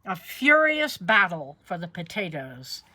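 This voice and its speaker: A woman reading a children's picture book aloud in an expressive storytelling manner. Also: lowish voice, measured pace, noisy background. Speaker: storybook reader.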